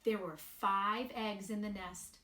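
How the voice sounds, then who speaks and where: A woman reading a children's picture book aloud in a deliberate, expressive way with emphatic pauses. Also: medium pitch. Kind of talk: read-aloud children's storytelling. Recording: roomy, echoing sound.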